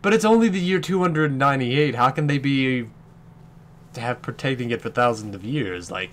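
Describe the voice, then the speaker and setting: A man's voice: medium pitch, animated, moderate pace. A man hosting a let's play with casual, rambling gameplay commentary and some swearing.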